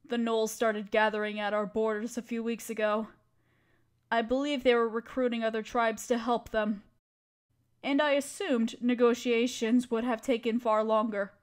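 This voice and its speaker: A woman playing a gentle, anxious, motherly spider queen in an audio roleplay, speaking tenderly and pleadingly, with careful phrasing. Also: on the high side, clear, resonant tone, lightly acted. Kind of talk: scripted audio roleplay addressed to listener.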